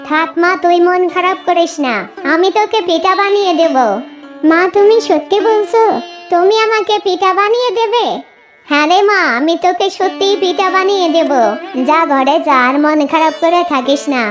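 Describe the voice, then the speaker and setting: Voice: very high-pitched, moderate pace, fairly bright tone, faint music behind. A performer voicing an emphatic, theatrical fox villager in a children's cartoon. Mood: tender. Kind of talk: Bengali children's cartoon dubbing.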